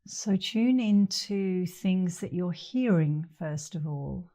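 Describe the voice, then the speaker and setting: A middle-aged woman guides a meditation in a soft-edged, relaxed voice. She takes her time, letting long pauses sit between short, gentle phrases. Her pitch sits a little low. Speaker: meditation instructor.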